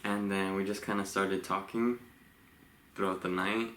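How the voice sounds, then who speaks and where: A man in his late twenties from Los Angeles, co-hosting a couple's Q&A vlog in a relaxed, rambling way with frequent laughs. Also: sits low, a little slow. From Los Angeles, USA. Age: 20s. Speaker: vlogger co-host. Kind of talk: casual couple Q&A chat. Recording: echoey room with faint hiss.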